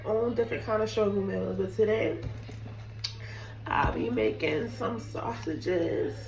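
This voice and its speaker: A young woman talks casually to her viewers from her home kitchen, her voice hoarse and fading from illness. Her marks her easy, chatty delivery. She stands away from the mic, and the bare room adds a roomy echo. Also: mid-range voice, a little slow, distant mic, highs cut off. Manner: chatty, apologetic, addresses viewers as "guys". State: sick, losing her voice, hoarse. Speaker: cooking vlogger. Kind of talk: casual chat to camera.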